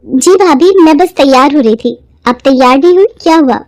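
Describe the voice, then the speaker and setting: A woman as a high-voiced, earnest young bride. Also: normal speed, dry recording, lightly acted. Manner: polite, earnest. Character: young newlywed bride, polite, earnest, modern-minded. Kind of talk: Hindi moral-story dramatization.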